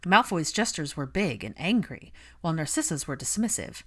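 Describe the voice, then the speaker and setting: A woman's voice: low-pitched, big pitch swings, normal speed, fairly bright tone, breathy edge. A woman reading a story aloud as an audiobook narrator, in scripted third-person narration. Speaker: audiobook narrator of fan fiction.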